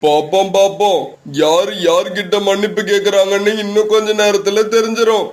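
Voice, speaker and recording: A man's voice: high voice, fairly even intonation, normal speed, lightly acted. A man voicing a stern, protective talking tree in a children's cartoon, with a firm, admonishing manner. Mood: defiant.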